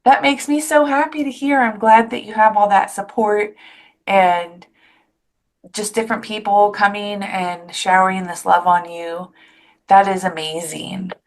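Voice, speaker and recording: A woman's voice: medium pitch, steady tone, unhurried. A woman hosting an interview podcast, chatty and enthusiastic, wandering into asides. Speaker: podcast host. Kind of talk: casual interview chat.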